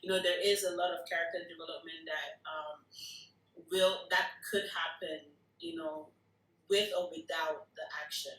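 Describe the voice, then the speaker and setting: A woman's voice: lowish voice, lively intonation, normal speed, fairly bright tone. A woman giving casual feedback as a participant in a group discussion. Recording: echoey room.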